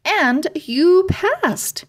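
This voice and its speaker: A woman voicing a calm, confident girl guide from a fairy world, friendly and reassuring, in a children's storybook. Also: very high-pitched, extremely slow, voice pushed up, full character voice.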